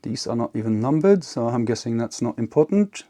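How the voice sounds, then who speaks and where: A man giving casual, unscripted hands-on narration as an electronics hobbyist, halting and half talking to himself as he works. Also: mid-range voice, lively intonation, mellow. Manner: halting, muttering to himself while working.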